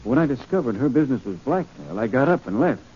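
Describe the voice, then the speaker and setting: Guy playing shifty indignant suspect, old radio crime show, soft dark tone, noisy 1940s recording. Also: mid-range voice, sing-song, fast talker, dull audio, close to an everyday voice. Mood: guarded. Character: smooth, evasive male villain, cornered murder suspect.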